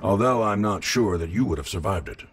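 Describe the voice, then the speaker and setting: An actor playing a proud, determined fallen warrior lord in a medieval fantasy game, speaking with terse self-assurance. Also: male voice, low-pitched, expressive, brisk, background noise. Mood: assured.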